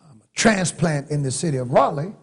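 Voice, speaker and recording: A middle-aged man from North Carolina with a Southern accent, preaching a sermon from a church pulpit with long pauses and rising emphasis. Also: on the high side, lively intonation, breathy edge. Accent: Southern American. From North Carolina, USA. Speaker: pastor. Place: large church sanctuary, at a pulpit. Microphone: pulpit microphone.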